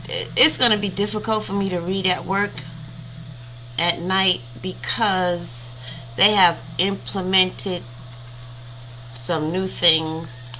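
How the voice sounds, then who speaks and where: Woman, 50s, livestream host, easygoing rambling chat, crisp forward tone, takes her time. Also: fairly low pitch, bright, forceful tone, a little hiss or hum, poor audio. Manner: rambling and chatty, thanks viewers by name.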